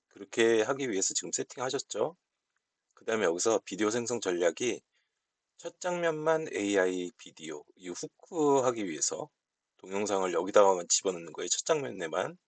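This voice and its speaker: A man giving a casual, unscripted software walkthrough, explaining politely step by step. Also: fairly low pitch, no room sound. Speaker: software tutorial presenter.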